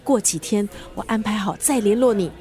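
A woman's voice: medium pitch, brisk, warm tone, lightly acted. A woman acting in a radio drama as a teasing, bossy family friend who plays matchmaker. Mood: scheming, brisk.

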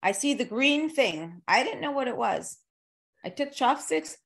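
Higher-pitched chatty woman interviewer. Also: lively intonation, unhurried, gravelly, a bit bright. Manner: informal, rambling, joking. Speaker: interviewer, podcast host.

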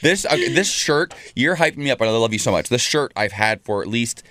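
A man co-hosting a morning show, chatty and excitable, a bit nasal from a cold. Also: medium pitch, fairly quick, no echo. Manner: chatty, excitable, restarts words. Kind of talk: casual chat.

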